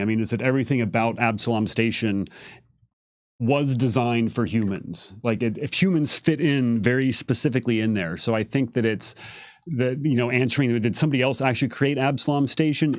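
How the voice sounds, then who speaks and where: A man's voice: medium pitch, dry recording, clean studio sound. A man giving careful, casual explanations as a game developer on a livestream Q&A. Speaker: game setting developer on a Q&A panel.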